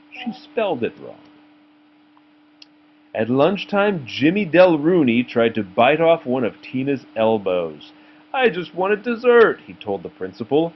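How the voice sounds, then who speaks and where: Male storybook reader, very expressive mid-range voice, dull home recording with faint noise. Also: medium pitch. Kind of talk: animated children's read-aloud.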